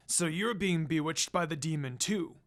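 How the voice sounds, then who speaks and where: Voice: medium pitch, normal speed, natural voice. A reader playing a stern, accusing old monk. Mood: suspicious. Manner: demanding, accusatory.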